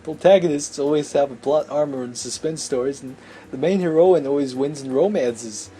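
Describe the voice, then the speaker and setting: A man voicing a bookish, zealous high-school boy in a visual novel, preachy and insistent about anime. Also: moderate pace, warm tone, slight background noise, lightly acted.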